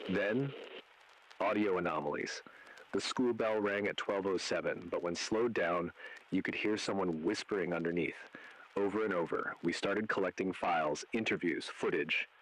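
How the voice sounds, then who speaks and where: A man playing an ominous agent who narrates an interactive horror warning in grave, deliberate, foreboding second-person script. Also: sits low, low-quality recording.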